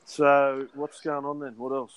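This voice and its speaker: A man's voice: mid-range voice, little pitch movement, a little slow, clean tone, rough recording. An Australian man hosting a casual chat, blokey and talkative, swearing offhandedly and often laughing while he talks.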